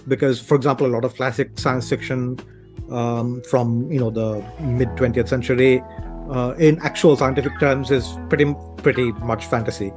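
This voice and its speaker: A man's voice: mid-range voice, fairly even intonation, moderate pace, music in the background. A man from Sri Lanka speaking casually as an interview guest, thinking aloud in hesitant, broken phrases.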